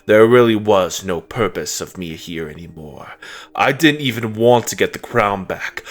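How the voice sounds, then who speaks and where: A man's voice: lowish voice, animated, measured pace. A man voicing a bitter, imperious fallen god in a comic dub, speaking in a brooding, contemptuous and menacing monologue. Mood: bitter, defeated. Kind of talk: dramatic comic dub narration. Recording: music under the voice.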